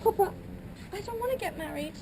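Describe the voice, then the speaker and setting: A woman's voice: very high-pitched, animated, quick pace, crowd noise. A woman playing a frightened young bride who pleads tearfully with her father. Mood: desperate. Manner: tearful pleading, breathless outbursts. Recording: loud background noise.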